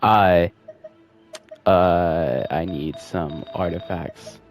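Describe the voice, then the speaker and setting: A woman's voice: very deep voice, very slow, a little mellow, soft background music. A woman giving casual, sweary, irreverent commentary while streaming a video game. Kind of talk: casual live gameplay commentary.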